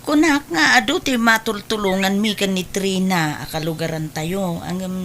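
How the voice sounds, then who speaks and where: A woman's voice: mid-range voice, moderate pace, a bit bright, slight background noise, lightly acted. A woman playing a hurt, generous older sister in an emotional, pleading Filipino radio drama style. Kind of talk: Ilocano radio drama acting.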